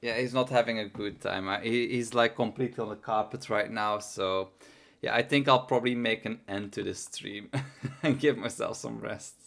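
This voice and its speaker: A man's voice: on the low side, fairly even intonation, moderate pace, gravelly, a bit bright. A young man with a worn, tiring voice streaming his programming, muttering to himself and trailing off between thoughts. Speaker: livestreaming programmer. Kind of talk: casual live coding stream.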